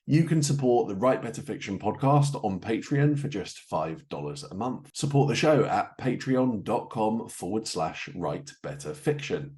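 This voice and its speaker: A man's voice: fairly low pitch, dark tone. A man hosting a podcast in an informal, self-deprecating way. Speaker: podcast host.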